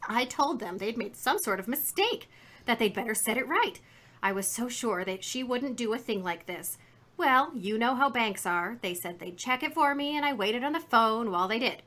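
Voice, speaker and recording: Bright-voiced, garrulous folksy shopkeeper. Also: female voice, a little high, expressive, moderate pace. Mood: indignant. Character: chatty, indignant, folksy female shopkeeper. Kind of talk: 1950s radio drama acting.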